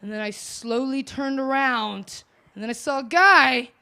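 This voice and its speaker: An American woman performing stand-up with crowd work, brash, loud and self-mocking. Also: high-pitched, unhurried. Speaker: stand-up comedian.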